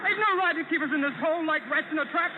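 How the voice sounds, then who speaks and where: An actor playing a panicky, despairing young prisoner, frantic and pleading, in old radio drama style. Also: male voice, very high-pitched, racing, crowd noise. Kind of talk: 1930s-40s radio drama acting. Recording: tinny, reverberant, noisy old radio recording.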